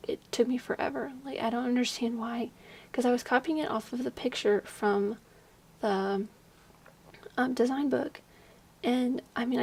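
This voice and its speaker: A young woman giving casual voice-over commentary on a game build, speaking quietly at night while slightly hoarse from losing her voice, rambling with lots of fillers. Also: a little high. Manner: rambling, chatty, many fillers; hushed, keeping quiet at night. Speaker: video creator. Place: home at night, at a desk.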